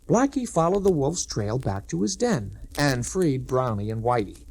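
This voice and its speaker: A man's voice: medium pitch, a little mellow. A man narrating a scripted children's story in the style of a 1960s record. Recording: old 1960s record, clear background noise.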